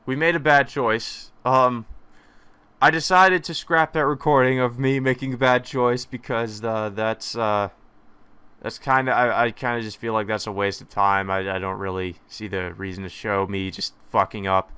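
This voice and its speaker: A man's voice: medium pitch, steady tone, moderate pace, bright, forceful tone, clear, resonant tone, dry recording. A man hosting a visual novel let's play, talking casually and hesitantly with lots of fillers and self-deprecating remarks. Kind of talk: casual gameplay commentary.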